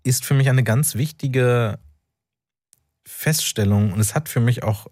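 A man's voice: mid-range voice, measured pace, a little mellow, dry recording, clean studio sound. A man from North Rhine-Westphalia, an author speaking reflectively as a radio interview guest, often searching for words. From North Rhine-Westphalia, Germany. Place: radio studio.

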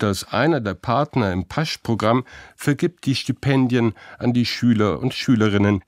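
A man's voice: mid-range voice, darkish tone, no room sound. Man reading a news report, dry studio sound. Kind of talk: scripted news narration. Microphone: studio voice-over.

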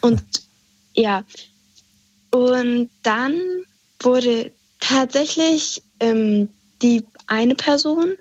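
A young woman calling in to a radio show, hesitantly telling a personal story with many pauses and fillers. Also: on the high side, no echo. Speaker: caller. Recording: dull lo-fi phone line.

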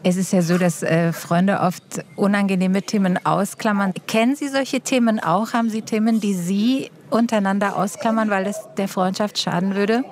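A woman's voice: medium pitch, steady tone, moderate pace, few pauses, a little mellow. A woman interviewing two guests, asking polite and formal questions.

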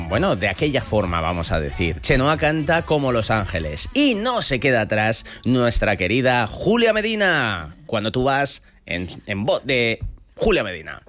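A man's voice: mid-range voice, big pitch swings, measured pace, fairly bright tone, soft background music. A man hosting an upbeat early-morning radio show in a studio, chatting and laughing often with his co-hosts.

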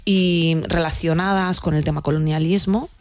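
A woman talking in an interview as a theatre director, telling her story in a wry, animated way. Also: on the low side, moderate pace, soft background music. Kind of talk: conversational interview answers.

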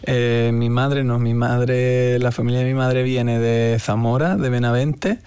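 Male interview guest, Cádiz Andalusian accent. Smooth, resonant voice, dry close sound, halting conversational delivery. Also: medium pitch, fairly even intonation, darkish tone, dry recording. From Andalusia, Spain. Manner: halting, searching for words. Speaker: guest musician. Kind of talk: casual interview talk.

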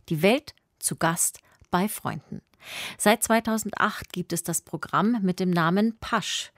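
A woman presenting a radio magazine with a warm, upbeat scripted delivery. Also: mid-range voice, no echo, clean studio sound.

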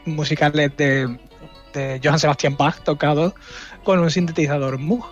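A man giving an informal, explanatory radio music segment, often pausing mid-phrase and tagging his points for agreement. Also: on the high side, fairly even intonation, muffled recording. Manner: halting, explanatory, seeks agreement with tags. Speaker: radio music section contributor. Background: music playing underneath.

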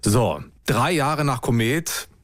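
A man's voice: medium pitch, animated, takes it slowly, no room sound. Man narrating a podcast, very dry studio sound. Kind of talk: scripted narration.

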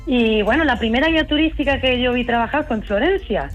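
A woman's voice: a little high, fast talker, highs cut off. A woman from Huelva with an Andalusian accent, a tour guide answering interview questions in an enthusiastic, explanatory, conversational way. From Huelva, Spain. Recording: music playing behind the voice. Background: music.

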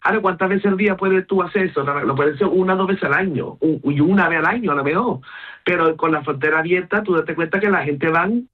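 A woman's voice: lowish voice, brisk. A middle-aged woman from Gibraltar with a Gibraltarian Llanito accent, chatting in an interview and switching between Spanish and English mid-sentence. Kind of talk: casual conversational interview. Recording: tinny phone-like line.

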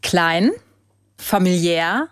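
A woman's voice: on the low side, drawn-out words, a bit bright, clean tone, dry recording, clean studio sound. A young woman from Hesse, chatting cheerfully as a radio interview guest. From Hesse, Germany. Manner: cheerful, chatty, with little laughs. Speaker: guest, table football world champion.

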